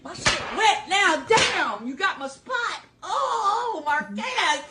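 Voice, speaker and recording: An older woman shrieks and scolds in a shrill, high voice that drags out slowly. The clip is loud with noise and bounces around a roomy space. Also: sing-song. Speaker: grandmother in a viral clip.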